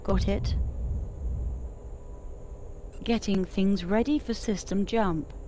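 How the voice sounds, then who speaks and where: A woman voicing a calm, obliging spaceship computer assistant in a measured, formal way. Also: medium pitch, slight background noise, lightly acted. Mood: calm, procedural. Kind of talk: scripted game voice assistant prompts.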